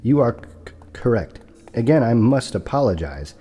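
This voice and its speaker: An actor playing a formal, deferential property broker who stutters, in an audio drama. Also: male voice, medium pitch, big pitch swings, moderate pace, dark tone, slightly rough. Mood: formal, measured. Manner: formal, precise, apologetic.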